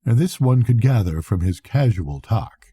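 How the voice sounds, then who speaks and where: An older man reads a classic novel aloud as a storyteller, in a measured, reflective bedtime manner with a soft, husky voice. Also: fairly low pitch, animated, dry recording, studio quality. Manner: measured, reflective first-person narration. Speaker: storyteller reading to grandchildren. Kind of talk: expressive bedtime audiobook reading of a classic novel.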